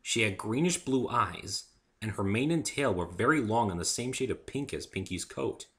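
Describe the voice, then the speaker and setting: A man's voice: fairly low pitch, lively intonation, moderate pace, fairly bright tone. A man giving an amateur dramatic reading of a first-person story as its narrator.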